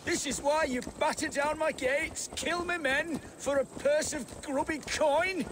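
High-pitched, haughty captured Saxon lord. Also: male voice, unhurried. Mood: defiant, furious. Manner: haughty, spitting insults, theatrical. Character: defiant, scornful captured Saxon thane, middle-aged man. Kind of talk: dramatic game cutscene acting. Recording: game audio over noisy ambience.

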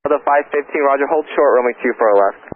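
Male tower controller, rapid clipped procedural delivery, thin radio sound. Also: mid-range voice. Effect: air traffic radio. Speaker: air traffic controller. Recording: thin narrowband radio, voices behind.

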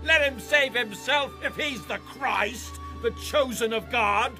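Casting: a man putting on mocking rulers, slow drawn-out sneer, bright tone. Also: soft background music, heavily acted. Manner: high, mocking, distant. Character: sneering rulers, scornful grown men.

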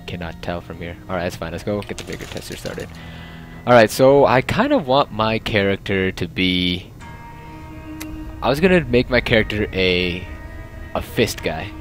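A man's voice: on the low side, lively intonation, moderate pace, soft background music. A young man casually narrating a game, rambling and joking as he plays. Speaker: let's play host. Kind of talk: casual gameplay commentary.

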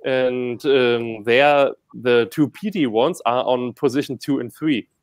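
A man's voice: mid-range voice, slow, no echo. Enthusiastic man, dry close sound. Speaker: whisky ambassador. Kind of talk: casual chat on a video call. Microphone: video call.

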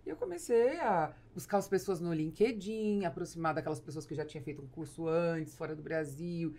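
A woman's voice: deep voice, expressive, fairly quick, warm tone. A woman with a Brazilian accent, hosting a podcast conversationally at a close studio microphone. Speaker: podcast host. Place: small foam-padded podcast studio. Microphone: studio microphone on boom arm, close.

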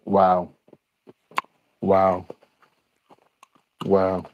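A man's voice: low voice, completely flat delivery, very slow, highs cut off, a little hiss or hum. A man hosting a live cooking stream from his kitchen, chatting with viewers as y'all and repeating his lines. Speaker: cooking show host. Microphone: overhead camera on the skillet, speaker unseen.

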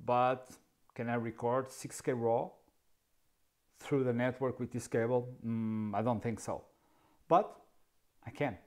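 Man, fifties, Portuguese accent, unhurried, mid pitch, sarcastic tech explainer, dark studio. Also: steady tone. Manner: wry, sarcastic, asks himself questions. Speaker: camera video host. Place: dark indoor studio, seated.

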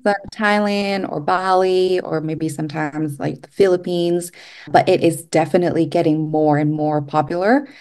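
Lower-pitched woman. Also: steady tone, normal speed, a little mellow. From Vietnam. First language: Vietnamese. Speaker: podcast guest, travel company manager. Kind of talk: conversational interview answers.

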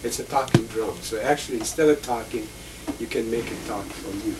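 A man in his sixties explains things patiently at a middling pitch, moving around as he talks. He sits well back from the camera microphone. Steady tape hiss runs under his voice. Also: brisk. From Egypt. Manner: patient explaining, moves about handling instruments. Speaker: musician and lecturer. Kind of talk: instrument demonstration and interview. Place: indoor room full of instruments, then an office with bookshelves. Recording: distant, hissy old videotape.

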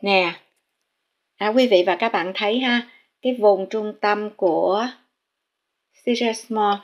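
A woman's voice: medium pitch, slow, dull audio. A Vietnamese woman vlogging casually, speaking politely to viewers with many fillers as she tours a house listing and shows a map. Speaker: real estate vlogger. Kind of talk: casual narrated house tour.

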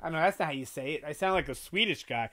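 Young New guy hosting a TV review channel, animated and loose, lots of "um" and "you know", webcam sound. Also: on the high side, fast talker. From New York. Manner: animated, gesturing a lot, chatty with fillers. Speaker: TV review host. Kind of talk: casual video review. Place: small bedroom with collectibles on shelves.

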